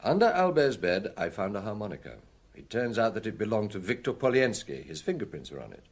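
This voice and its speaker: An actor playing a shrewd, self-assured male detective inspector in a British radio crime drama, speaking assertively and deliberately. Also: on the low side, very animated. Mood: assured, explanatory.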